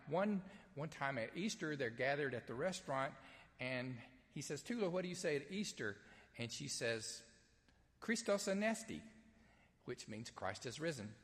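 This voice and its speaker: A man's voice: medium pitch, lively intonation, unhurried, a bit bright, big-room sound. A man with a Southern US accent preaching an Easter sermon and reading scripture, in a folksy, conversational way with frequent ums and uhs. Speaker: pastor. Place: church sanctuary, streamed live.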